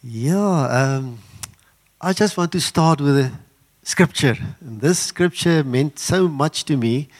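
An older man, an Afrikaans speaker, preaching a sermon in an earnest, halting way with many fillers. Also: on the high side, lively intonation. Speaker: guest preacher.

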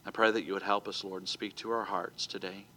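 A man's voice: sits low, level tone, fairly quick, pushed and bright, slight background noise. A man from America preaching a sermon as pastor in a church, earnest, with some restarts and stumbles, moving into prayer. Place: church sanctuary.

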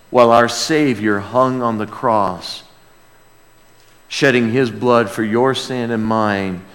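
A man preaching a sermon as a pastor, earnest and emphatic, moving between hushed lines and loud exclamation, with rhetorical questions to the congregation. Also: on the low side. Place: large reverberant room, preaching to a congregation. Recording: long hall reverb.

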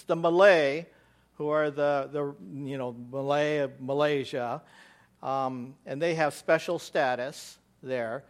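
An American missionary giving a church slide presentation, rambling with many fillers and restarts. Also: male voice, a little high. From United States.

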